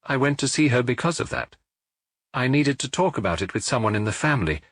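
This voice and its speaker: A man voicing a blunt, unsentimental middle-aged woman who runs a hair salon, speaking in a flat, matter-of-fact way. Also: medium pitch, fairly quick, dry recording, studio quality, pitched above the performer's own voice.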